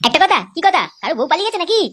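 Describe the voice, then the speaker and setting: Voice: extremely high pitch, big pitch swings, rapid-fire, bright, forceful tone, slight background noise, exaggerated voice. A performer voicing a brash, swearing cartoon village pal, coarse and cocky. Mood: surprised, brash. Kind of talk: comic cartoon dialogue.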